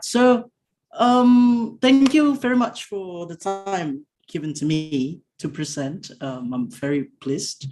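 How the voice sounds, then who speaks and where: A young woman with a very deep voice giving an academic presentation over a video call, halting with frequent fillers and restarts. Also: a little mellow. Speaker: conference presenter.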